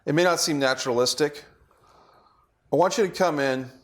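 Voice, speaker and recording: A man's voice: on the high side, normal speed. A man working as a director, reading stage directions aloud and coaching his actors. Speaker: director leading a table read.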